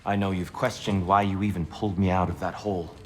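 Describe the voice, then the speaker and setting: An actor playing a solemn, secretive warrior god with a grave, measured delivery in a video game. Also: male voice, low-pitched, flat delivery, lightly acted. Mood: solemn resolve. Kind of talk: video game cutscene acting.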